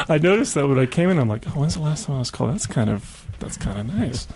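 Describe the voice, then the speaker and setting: A man's voice: a little high, big pitch swings, a little fast, darkish tone, a little hiss or hum. An American man hosting a casual community radio interview, chatting and murmuring agreement as he goes. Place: radio studio.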